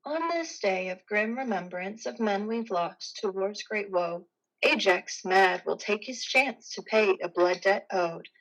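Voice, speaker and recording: Casting: actress as a fateful muse turned news reporter, medium-pitched, formal and measured, intoning verse in a stage drama. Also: female voice, expressive, fairly bright tone, rough recording. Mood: solemn, portentous. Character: muse posing as a solemn female TV reporter. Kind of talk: rhymed verse in reporter delivery.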